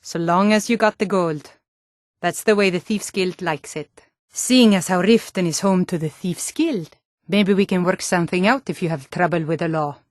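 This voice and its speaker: A woman's voice: lowish voice, normal speed, no room sound, studio quality, natural voice. A woman voice actor playing a tough, wary female Nord city guard in a fantasy video game, with blunt, colloquial delivery. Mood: sly, hinting. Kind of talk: scripted fantasy game dialogue in short standalone lines.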